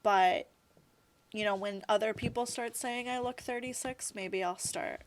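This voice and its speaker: A woman in her thirties chats as an interview guest. Her voice sits at an ordinary middle pitch. Her talk is loose and rambling, full of "like" and "you know". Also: steady tone, a little slow, slight background noise, rough recording. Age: 30s. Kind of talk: casual interview chat.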